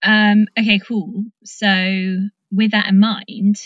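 A woman's voice: mid-range voice, little pitch movement, slow pace, dry recording, dull audio. A British woman hosting a casual interview, informal and encouraging, feeling her way through questions. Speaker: podcast host interviewing.

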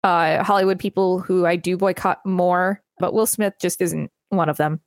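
A woman's voice: fairly low pitch, fairly even intonation, normal speed, no echo, clean studio sound. A woman co-hosting a podcast, moving between reading an article and casual chat. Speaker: podcast co-host.

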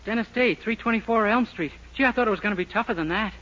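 A man's voice: sits high, fast, fairly bright tone. An actor playing a naive, hapless, boyish young man, easily flustered, in a 1940s radio sitcom. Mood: innocent, puzzled. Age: young adult. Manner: boyish, innocent, literal-minded. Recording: noisy, muffled 1940s radio recording.

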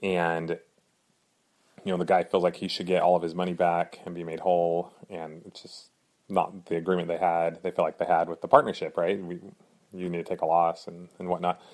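A man hosting his own podcast in earnest, rambling casual talk, a little hoarse from a cold. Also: low voice, little pitch movement, a little fast, a little mellow. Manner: earnest, rambling, frequent fillers and restarts. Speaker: podcast host.

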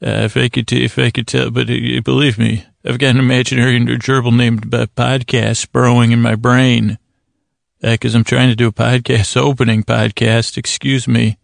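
A man hosting a bedtime podcast in a halting, meandering monologue, repeating himself, with a gravelly voice close to the microphone. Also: medium pitch, flat delivery, no room sound. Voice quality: gravelly, creaky. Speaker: podcast host. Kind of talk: rambling bedtime monologue to help listeners sleep.

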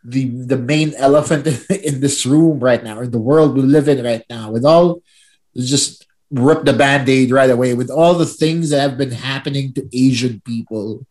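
A man's voice: mid-range voice, moderate pace, darkish tone. A man from the Philippines hosting a podcast, chatty and self-mocking as he introduces his guests. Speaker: podcast host.

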